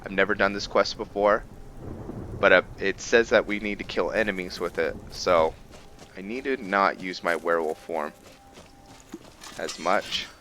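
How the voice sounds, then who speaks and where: A man's voice: sits low, a little slow, pauses often, sharp tone, slight background noise. A man giving casual roleplay gameplay commentary, thinking aloud to himself as he plays. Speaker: gaming video host. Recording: background music under voice. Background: game music.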